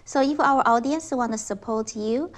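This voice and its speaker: A woman's voice: a little high, moderate pace. Woman interviewer with brief prompts. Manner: brief prompts, frequent "mm" backchannels. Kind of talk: interview questions.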